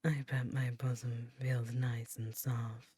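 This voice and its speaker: A woman voicing an ancient, seductive yet motherly female demon queen, teasing and then gently nurturing in soft, intimate whispers for an ASMR roleplay. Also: very deep voice, flat delivery, slow pace, husky, dry recording, exaggerated voice. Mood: soothing.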